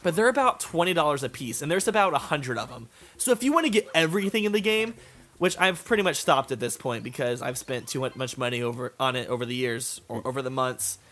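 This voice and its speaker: A man's voice: mid-range voice, animated, fast, fairly bright tone. A man talking casually as he plays a video game, rambling and often saying "like," with some swearing. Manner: rambling, peppered with "like". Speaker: gaming video co-host and player. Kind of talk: casual chat over gameplay. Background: video game play.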